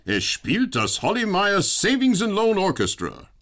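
A man's voice: sits high, huge pitch swings, unhurried, heavily acted. A man playing a theatrical, mock-solemn storyteller who addresses the listeners directly in a comic radio play. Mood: mock announcing.

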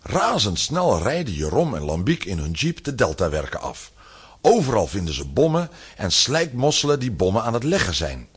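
Low, very animated male storyteller. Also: normal speed, breathy edge. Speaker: narrator. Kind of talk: animated scripted narration of a children's radio play.

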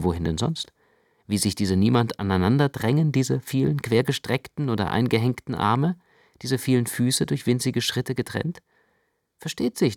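A man narrating literary prose for a radio production in a dramatic, expressive way. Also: medium pitch, creaky, no room sound, clean studio sound.

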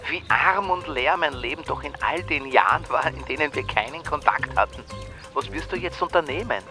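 Cheeky slacker austrian mate in a radio play, sarcastic, slangy, music underneath. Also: male voice, fairly high pitch, lively intonation, moderate pace. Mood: egging on. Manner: sardonic, slangy. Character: cheeky, morbidly curious slacker friend, café bar type. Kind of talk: radio drama acting.

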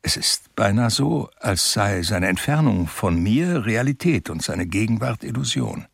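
An actor playing a blind, sensitive man who narrates his life in an audio drama, in measured, wryly understated with a husky, gravelly voice. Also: fairly low pitch, no room sound, clean studio sound, full character voice. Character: blind, highly sensitive, reflective younger brother telling his life. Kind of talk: scripted first-person audio drama narration.

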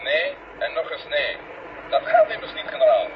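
A defiant, sarcastic astronaut, noisy line. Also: male voice, on the high side, huge pitch swings, moderate pace. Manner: sarcastic, emphatic. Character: defiant, stubborn male astronaut refusing his general's orders. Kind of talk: Dutch radio drama acting. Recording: muffled old radio recording, loud noise.

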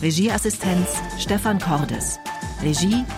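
A woman announcer reading the titles and credits of a radio drama in a measured, scripted way. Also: on the low side, music in the background.

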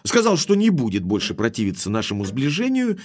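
Young gentleman baronet, very animated pitch, blunt, emphatic, impulsive, audio drama. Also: a little fast. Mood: earnest. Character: hot-headed, lovestruck young baronet, direct and forceful.

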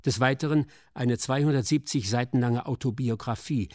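A man's voice: medium pitch, normal speed, darkish tone, no room sound, clean studio sound. A man reading a scripted, factual documentary narration for radio.

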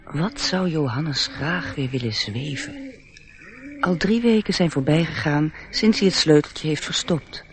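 An actor as the gentle narrator of a fairy tale in a radio play, telling it in storybook style. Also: male voice, on the high side, very animated, measured pace. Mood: wistful.

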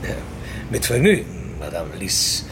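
Creaky-voiced, courteous male job seeker. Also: sits low, big pitch swings, measured pace, background noise, exaggerated voice. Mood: deferential, puzzled. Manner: courteous, deferential, hesitant. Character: polite, timid middle-aged male clerk seeking work. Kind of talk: radio drama acting.

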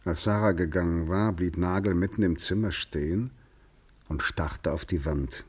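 Radio drama narrator: man, very low, husky voice, scripted literary storytelling. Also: moderate pace.

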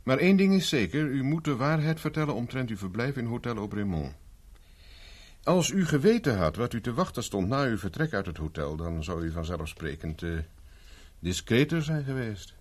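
An actor playing a persistent private investigator in a 1970s radio drama, speaking dry and insistent. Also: male voice, medium pitch, very animated, moderate pace, breathy edge. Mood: confronting. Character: dogged male private investigator, cool and persistent. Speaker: private detective Carver.

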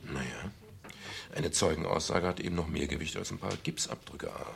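Gravelly, very deep-voiced private detective. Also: male voice, fairly quick, fairly bright tone, heavily acted. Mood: calm, probing. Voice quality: gravelly, husky. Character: modest, wry male private detective, narrating his case. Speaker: actor in a radio crime drama. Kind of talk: 1970s German radio play, narration and dialogue.

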